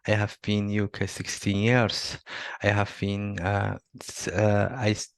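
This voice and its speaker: A middle-aged man from Somalia, not a native English speaker, answering interview questions haltingly with many fillers and restarts. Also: on the low side, monotone, vocal fry, smooth, dry recording. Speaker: interviewee, Salesforce admin. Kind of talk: video interview.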